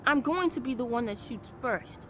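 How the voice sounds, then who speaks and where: High-voiced, defiant kid. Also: female voice, lively intonation, moderate pace, fairly bright tone, a little hiss or hum. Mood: sullen defiance. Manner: sullen, youthful, stubborn. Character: defiant, hurt child of a missing policeman.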